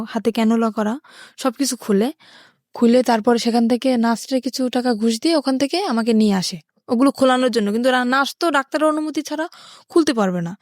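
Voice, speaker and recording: A woman's voice: on the high side, no room sound, studio quality. A young woman with a Bangladeshi accent, telling her life story as an interview guest.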